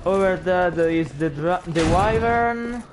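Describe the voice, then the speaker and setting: A man's voice: high voice, measured pace, very noisy. A young man with an Italian accent, a non-native English speaker, chatting as he streams a game and reacting aloud to it. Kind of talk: gameplay commentary.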